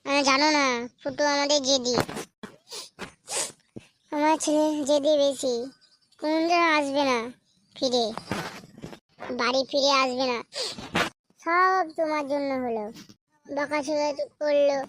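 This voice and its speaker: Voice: high-pitched, steady tone, background noise. A performer voicing a grieving village mother in a comic cartoon, wailing and reproachful. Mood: tearful reproach. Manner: wailing, drawn-out lamenting and reproachful. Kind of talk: amateur comic cartoon dubbing.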